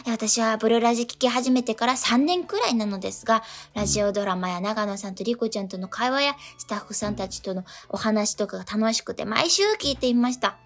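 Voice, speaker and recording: A woman's voice: fairly high pitch, brisk, few pauses. A woman co-hosting a radio show, chatting and reading out listener letters.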